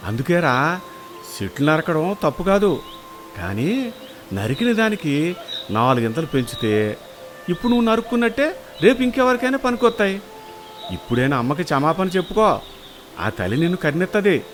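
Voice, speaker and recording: A man acts out a humble village woodcutter from a children's moral story. His rural Telugu speech is earnest and colloquial, swinging widely in a highish pitch. Some noise and nature ambience sit behind him. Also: big pitch swings, moderate pace, pauses often. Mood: earnest advice. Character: humble, good-hearted village woodcutter, pleading respectfully. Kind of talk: animated moral story acting.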